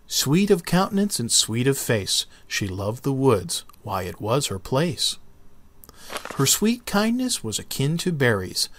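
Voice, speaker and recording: A man narrating a children's book as an expressive read-aloud. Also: mid-range voice, measured pace. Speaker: storybook narrator.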